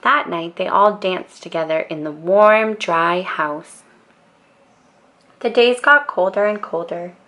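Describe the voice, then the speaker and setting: A woman storyteller narrating a children's bedtime book in a calm, expressive and deliberate way. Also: fairly low pitch.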